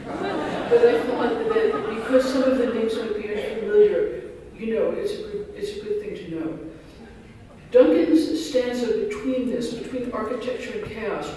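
Male keynote lecturer reading a scholarly paper, high-pitched, distant mic in a reverberant hall. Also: dark tone, slight background noise. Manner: dense scholarly phrasing, self-deprecating asides. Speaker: keynote speaker. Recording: echoey hall recorded from far off.

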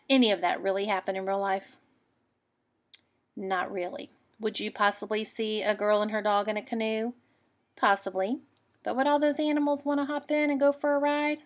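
A woman, a teacher giving an expressive, explanatory read-aloud lesson for children. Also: on the high side, normal speed.

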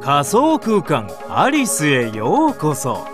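A man's voice: a little high, wildly swooping pitch, slow, full character voice. A man voicing a wry, polite intellectual in a game, musing with faint condescension in conversational dialogue. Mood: sardonic, musing. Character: wry, polite male intellectual in a lab coat. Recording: music under the voice.

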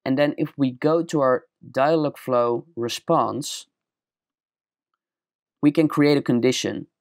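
A young man with a Dutch accent walking through a screen-recorded software tutorial step by step, in a plain explaining manner. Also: mid-range voice, slow, dry recording, clean studio sound. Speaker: tutorial presenter.

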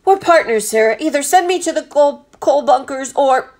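Plucky storybook lad female reader, loyal and determined, animated and youthful in delivery. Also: high voice, normal speed, voice pushed up. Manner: polite, eager, determined. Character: earnest, plucky, loyal young boy stowaway.

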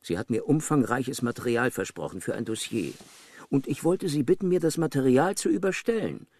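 A man's voice: mid-range voice, expressive, a little fast, a little mellow, breathy edge, no room sound. An actor playing a cocky, sarcastic male journalist in a radio crime drama, pushy and self-important. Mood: self-assured, demanding.

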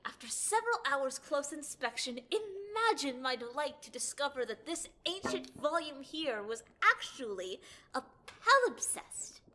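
A woman's voice: high-pitched, very animated, measured pace, bright, forceful tone, full character voice. A woman voicing a pompous, grandly self-assured boy scholar in an audio drama, theatrical and formal.

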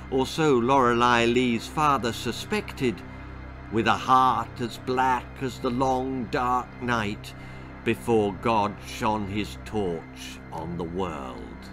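An older man with an English accent reads a storybook aloud. His delivery is expressive and dramatic, savouring each phrase. His pitch sits in the middle range. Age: 60s or older. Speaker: author reading his story. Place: small book-lined room indoors.

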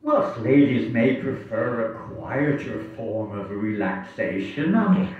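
A man playing a smug, falsely genial royal brother who loves gambling, in a theatrical, drawn-out and condescending stage reading. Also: medium pitch, very animated. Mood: smug eagerness. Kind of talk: amateur staged dramatic reading. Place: wood-panelled hall, indoors. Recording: distant echoey hall recording, muffled.

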